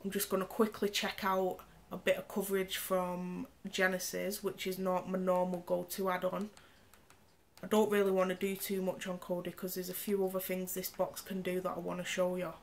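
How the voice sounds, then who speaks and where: A woman reviews a gadget in a chatty, explanatory way. She sounds congested and a bit nasal from a cold. Her voice sits low and stays fairly flat. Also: moderate pace, gravelly. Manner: chatty, explains specs while demonstrating. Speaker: tech reviewer. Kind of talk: casual product review. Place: indoor room, camera on the screen.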